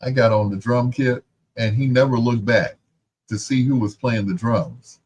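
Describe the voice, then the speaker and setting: A man's voice: mid-range voice, normal speed, darkish tone, a little hiss or hum. A man hosting a radio music show, chatting casually and telling playful personal anecdotes.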